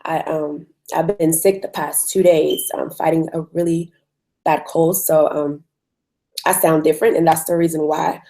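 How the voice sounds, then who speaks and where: A woman with a hoarse voice from a cold, hosting a live online webinar in a conversational way with frequent ums. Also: low-pitched, steady tone, measured pace, mellow, rough recording. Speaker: webinar host.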